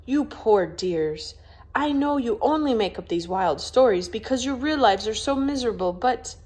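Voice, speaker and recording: A woman's voice: a little high, lively intonation, normal speed, a little mellow, pitched above the performer's own voice, close to an everyday voice. A woman voicing a proud, stiff young lady in a storybook, with a prim, formal, scolding manner. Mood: disbelieving.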